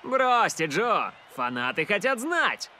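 A man voicing an excitable young TV sports reporter in a cartoon, eager and showy. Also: high voice, slow, slight background noise, heavily acted. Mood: eager, excited. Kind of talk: animated cartoon dub.

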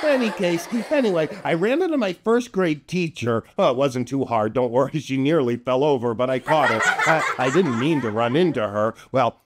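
A middle-aged man presenting a children's show as a playful entertainer, with a theatrical, clownish manner and corny jokes. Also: on the high side. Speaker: children's entertainer and storytime host.

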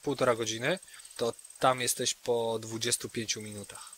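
A man's voice: mid-range voice, level tone, normal speed, a bit bright, husky. A man narrating an improvised tabletop game as game master, speaking to players directly in a conversational way.

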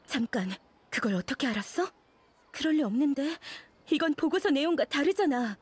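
A woman's voice: high-pitched, expressive, normal speed, darkish tone. A woman playing an anxious, guilt-ridden scholar who speaks in a guarded, urgent way in a game drama. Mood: alarmed.

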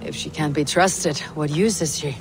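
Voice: medium pitch, moderate pace, natural voice. An actor playing a blunt, hard-bargaining Viking warrior in a video game, terse and menacing. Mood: distrustful. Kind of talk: video game cutscene acting.

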